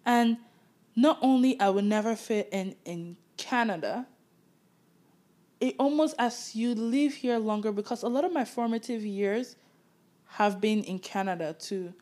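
A woman's voice: a little high, a little slow, a little mellow. Woman, Nigerian accent, twenties, podcast co-host, candid, reflective, easy laugh. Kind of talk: casual chat.

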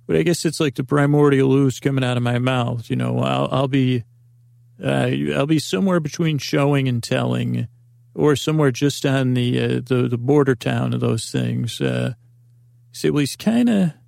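Gravelly rambling man hosting a podcast. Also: mid-range voice, a little mellow, no echo, studio quality. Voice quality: gravelly, creaky voice. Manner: meandering, self-interrupting, drifts in pace. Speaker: podcast host. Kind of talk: rambling bedtime podcast talk.

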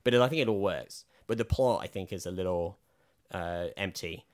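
A man with a lowish voice talks over a film in loose, hesitant chat. He reaches for his words, stacking up fillers and restarts, and his voice is slightly croaky. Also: normal speed. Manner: rambling, hesitant, filler-heavy. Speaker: podcast co-host. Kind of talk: casual film review chat.

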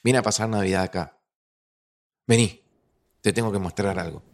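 An actor with a Rioplatense accent playing a friend and new father who is gruff, teasing and affectionate. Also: fairly low pitch, normal speed, natural voice. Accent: Rioplatense Spanish.

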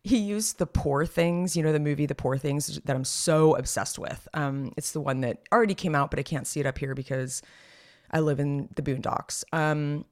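A podcast co-host in her thirties talks in a loose, rambling way, stopping and restarting her sentences often. Her voice is slightly raspy and hoarse. She sounds relaxed and conversational. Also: sits low, normal speed. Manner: chatty and rambling, many fillers and restarts. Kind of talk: casual chat.

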